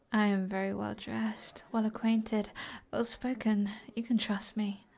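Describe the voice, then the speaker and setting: A British woman playing an alluring, flirtatious sorceress in an ASMR roleplay, in a soft breathy voice that often drops toward a whisper, with a teasing manner. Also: medium pitch, exaggerated voice. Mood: playful, teasing.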